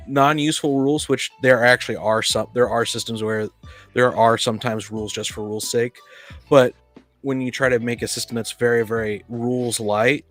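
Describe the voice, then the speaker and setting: Opinionated man in casual debate, light music behind. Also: mid-range voice. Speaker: podcast panelist, experienced tabletop player. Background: background music.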